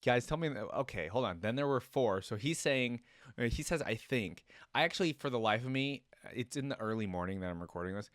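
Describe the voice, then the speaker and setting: A man's voice: medium pitch, animated, fairly quick, dry recording, studio quality. A man hosting a reaction video, commenting casually, musing aloud and putting questions to his viewers.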